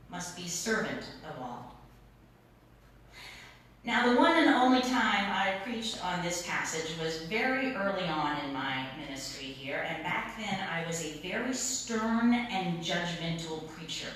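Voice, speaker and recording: A middle-aged woman gives a conversational sermon in a very reverberant room, picked up some way from the microphone. Her voice sits a little low, and she speaks informally, like a pastor telling stories, repeating words to make a point. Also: expressive, unhurried, fairly bright tone. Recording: very echoey room, distant mic, noisy.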